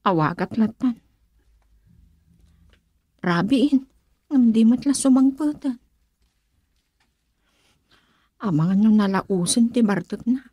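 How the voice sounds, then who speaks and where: Husky-voiced worried Ilocano wife. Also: female voice, on the high side, expressive, a little slow, pauses often, warm tone, dry recording. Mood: anxious, fretting. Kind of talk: Ilocano radio drama acting.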